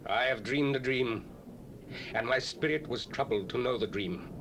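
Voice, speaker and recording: A man's voice: medium pitch, normal speed, close to an everyday voice. An actor playing a stern, angry king of Babylon who threatens his wise men, on an old cassette with tape hiss. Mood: commanding. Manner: commanding, threatening.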